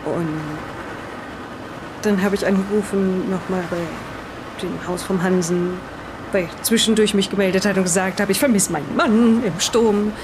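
A woman playing a shaken, distraught wife in an improvised audio drama, telling her story in a halting, confiding way. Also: mid-range voice, fairly even intonation, background noise, lightly acted. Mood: uneasy, confiding.

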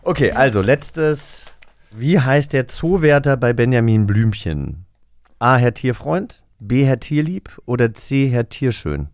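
A man's voice: mid-range voice, expressive, unhurried, no echo. Man with a close, dry sound. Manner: playful and teasing. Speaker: podcast co-host. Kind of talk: casual, jokey studio chat with quiz questions.

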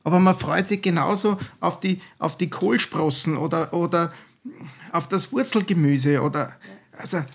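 A man's voice: a little high, vocal fry, husky. An older man with an Austrian accent, a guest chef talking enthusiastically in a podcast chat, with many restarts.

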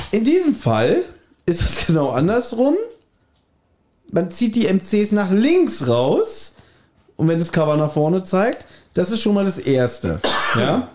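A man hosting a casual podcast chat, animated and teasing, often putting questions to the others. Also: fairly high pitch. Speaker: podcast host.

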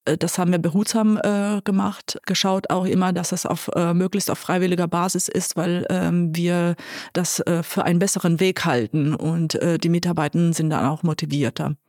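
Croatian-accented woman, halting and thoughtful. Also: on the low side, fairly even intonation, darkish tone, no echo, clean studio sound. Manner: thoughtful, many hesitation fillers. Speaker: guest, nursing director. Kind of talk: interview answers.

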